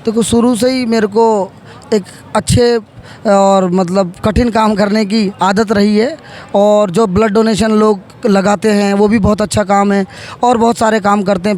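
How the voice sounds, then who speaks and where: A man answering interview questions as a politician, halting and repeating phrases as he goes. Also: high voice, steady tone, darkish tone. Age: 30s.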